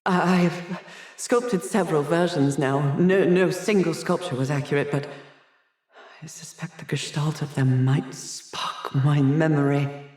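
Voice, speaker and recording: A man's voice: on the high side, fairly even intonation, unhurried, a little mellow, echoey room, natural voice. A man playing a haughty, haunted asylum doctor in a video game, theatrical and erudite, fretful and hesitant, obsessed with his art. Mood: weary, distracted. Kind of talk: dramatic video game dialogue.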